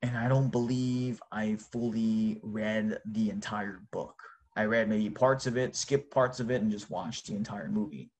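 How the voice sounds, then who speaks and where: A man's voice: fairly low pitch, steady tone, unhurried, darkish tone. A man whose native language is Japanese, chatting casually to his listeners in an informal, exclamatory way. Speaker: host.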